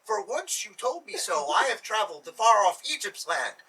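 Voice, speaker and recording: A man's voice: high-pitched, very animated, normal speed, pushed and bright, breathy edge, heavily acted. A man voicing a cunning male trickster who pleads in flowery, mock-humble words, recorded from a distance. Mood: pleading.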